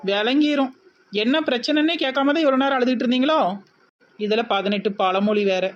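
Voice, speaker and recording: A woman's voice: high-pitched, brisk, faint music behind, lightly acted. Wry village sister-in-law, dull sound, woman acting. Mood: wry, exasperated. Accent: southern Tamil Nadu. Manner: wry asides, mock-concerned coaxing. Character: exasperated, sarcastic village sister-in-law playing the supporter.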